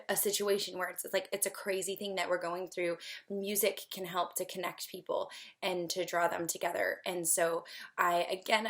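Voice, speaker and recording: A woman composer from the United States introducing her choral piece in an earnest, upbeat way, with frequent ums and restarts. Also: on the low side.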